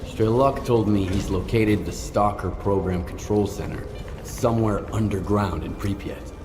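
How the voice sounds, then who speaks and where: An actor playing a determined, earnest veteran soldier in a video game cutscene, terse and steady. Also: male voice, on the low side, moderate pace, noisy background, natural voice.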